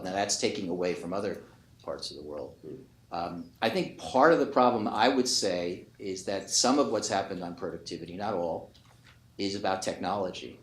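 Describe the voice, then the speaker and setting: An older man, an economist giving emphatic, argumentative, unscripted remarks on a panel, often qualifying his own points. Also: deep voice. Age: 50s or 60s. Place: conference panel before an audience. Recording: echoey room with faint noise.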